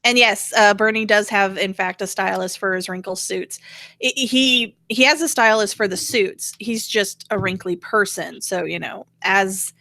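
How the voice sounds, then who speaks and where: A woman's voice: medium pitch, normal speed, vocal fry, bright, forceful tone, no room sound. Woman co-host, dryly sardonic, close dry sound. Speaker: show co-host. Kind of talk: casual political gossip chat.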